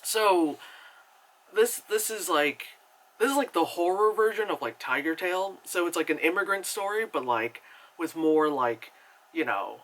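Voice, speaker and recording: A young man giving a casual, rambling movie review, full of fillers and animated asides. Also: unhurried, low-quality recording. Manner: chatty and animated, many fillers, jumps into high acted reactions.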